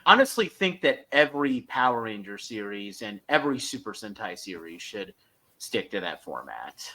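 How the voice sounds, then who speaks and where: A man's voice: on the low side, big pitch swings, moderate pace, pushed and bright. A man co-hosting a podcast, giving an enthusiastic, opinionated fan review in a casual discussion.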